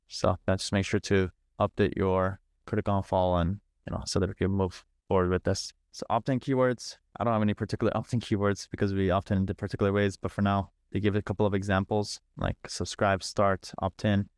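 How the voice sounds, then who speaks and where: A man's voice: deep voice, smooth, no room sound, clean studio sound. A man leading a live online training, talking informally through setup steps, repeating himself and thinking aloud. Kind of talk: casual live screen-share walkthrough. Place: live online video call.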